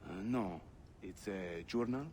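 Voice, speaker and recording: An actor playing an affable, teasing Turkish assassin fighter with a Turkish accent in a video game. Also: male voice, fairly low pitch, a little slow, darkish tone, a little hiss or hum, close to an everyday voice. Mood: curious, helpful. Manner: jovial, playful. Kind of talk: dramatic game acting.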